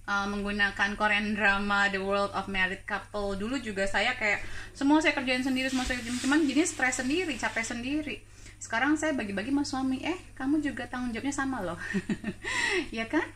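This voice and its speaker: A chatty woman from Indonesia cooks at her stove while talking to her viewers, laughing now and then. Her voice is bright and forward. A faint hum of kitchen ambience sits behind her. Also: medium pitch. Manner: chatty, laughs often, code-switches into English words. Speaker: cooking show host. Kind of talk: live-stream cooking chat, reading viewer questions.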